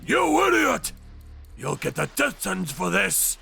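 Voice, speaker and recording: A male actor voicing an aggressive, gruff, hot-tempered man in an exaggerated crime manga dub. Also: sits high, wildly swooping pitch, unhurried, soft background music, exaggerated voice. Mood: furious. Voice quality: gravelly, forceful.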